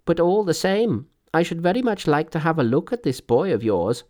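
Man putting on a high, brash storybook monkey hero, Monkey. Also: big pitch swings, moderate pace, a little mellow. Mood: curious, confident. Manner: brash, bantering, self-assured. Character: Monkey, cheeky fearless male monkey hero with magic. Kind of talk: storybook character voice.

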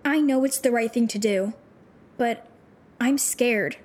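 An actress playing a troubled, disillusioned teenage runaway with superpowers in an audio drama, talking in halting, informal, youthful phrases. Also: female voice, on the high side, darkish tone. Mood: scared, unsure.

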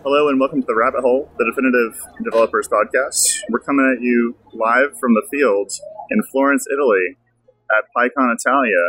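A man hosting a podcast and interviewing a guest in a casual chat. He talks in a relaxed way and says "like" a lot. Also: medium pitch, a little fast, slight background noise, rough recording. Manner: relaxed, many fillers like "like". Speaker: podcast host. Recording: outdoor field recording.

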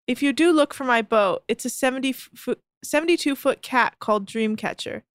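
A woman's voice: sits high, fairly quick, clear, resonant tone, no room sound, clean studio sound. A woman co-hosting a casual podcast chat, reading posts aloud with amused disbelief. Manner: amused, incredulous, laughing. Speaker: podcast co-host.

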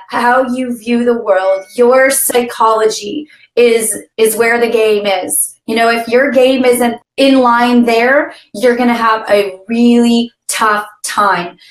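Woman co-host, scratchy voice today, upbeat, kinda echoey room. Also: fairly high pitch. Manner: upbeat, laughs often. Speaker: podcast co-host. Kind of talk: business podcast talk.

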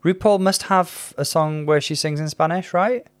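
A man's voice: a little high, moderate pace, no echo. A man co-hosting a podcast, chatting casually and playfully with laughing asides. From Wales. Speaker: podcast co-host. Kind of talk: casual chat.

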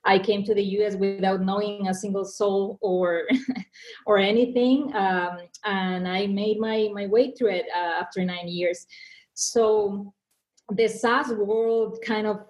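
A woman's voice: mid-range voice, steady tone, takes it slowly, a little mellow, highs cut off. A woman from Mexico with a Mexican accent, a marketing executive speaking as a podcast guest in an earnest way, often restarting and repeating words. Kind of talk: podcast interview.

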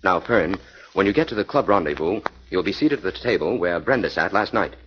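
An actor playing a confident crime-fighting hero who gives brisk orders, in a 1940s radio drama with a lo-fi sound. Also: male voice, sits low, animated. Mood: commanding. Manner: brisk, commanding.

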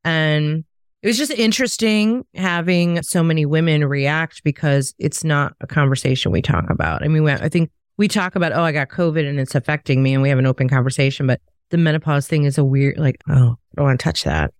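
A woman's voice: low-pitched, animated, brisk, no echo. Middle-aged woman podcast cohost, candid chatty, dry close sound. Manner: candid, storytelling. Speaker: podcast co-host. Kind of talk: casual chat.

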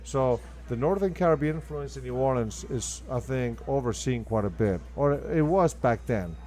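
Male interview guest, Argentine accent, native Spanish speaker, mid-pitched, emphatic and enthusiastic conversational delivery. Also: animated, normal speed, warm tone, faint music behind. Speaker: guest, restaurant owner.

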